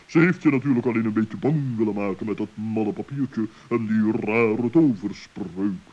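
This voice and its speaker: A man's voice: lowish voice, moderate pace, a little mellow, lightly acted. A man playing a pompous, loyal owl in a children's radio play, speaking in wordy, quaint phrases on a muffled old recording. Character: pompous, loyal male owl in a forest tale.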